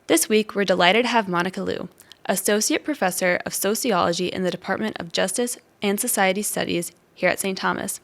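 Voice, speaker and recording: A woman's voice: mid-range voice, brisk, gravelly. Woman hosting an interview podcast. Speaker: podcast host. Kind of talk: interview introduction and questions.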